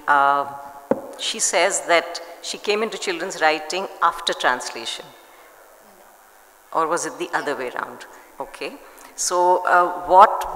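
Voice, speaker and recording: A woman's voice: low-pitched, pushed and bright. A woman moderates a festival panel, introducing speakers in an encouraging, conversational way. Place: literary festival stage. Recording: echoey hall with faint ambient noise.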